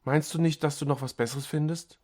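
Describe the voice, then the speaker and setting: A man's voice: on the high side, fairly even intonation, fairly quick, a little mellow, natural voice. Man putting on a skeptical, needling old mother. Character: doting, disapproving elderly mother of grown son.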